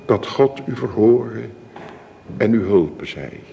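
An actor playing a solemn church minister in a radio drama, speaking formal, measured, ceremonious wedding liturgy. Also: male voice, on the low side, animated, muffled recording, full character voice. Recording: reverberant church-like hall, clear hiss.

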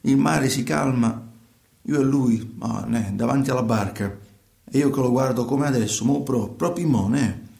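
A man's voice: medium pitch, vocal fry, a little mellow, lightly acted. Actor as a fisherman, roomy echo. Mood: proud, reflective. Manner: colloquial, halting, repeats words and phrases. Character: troubled working fisherman recounting life at sea. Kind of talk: theatrical radio monologue in conversational storytelling.

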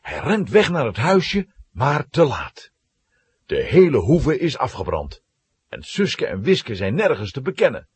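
A man giving dramatic, expressive scripted narration of a children's story. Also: medium pitch, measured pace, breathy edge, dry recording.

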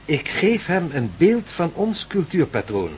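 Pedantic, high-strung professor, lecturing. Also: male voice, sing-song, a little slow, darkish tone, slight background noise. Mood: confident, absorbed. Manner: formal, lecturing, precise. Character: pedantic scholarly professor, confident and patient experimenter. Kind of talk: radio drama acting.

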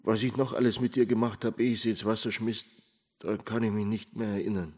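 An actor playing a self-justifying, evasive Bavarian murder suspect in a radio play confession, speaking colloquially with a Bavarian accent. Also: male voice, on the low side, fairly even intonation, fast, darkish tone. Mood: subdued, haunted.

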